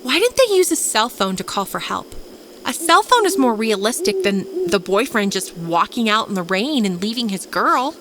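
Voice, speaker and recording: A woman's voice: a little high, very animated, moderate pace, a crowd in the background. A woman voicing a smart, skeptical young college-age woman in a storytelling podcast, questioning and a little nervous. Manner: interrupting, questioning.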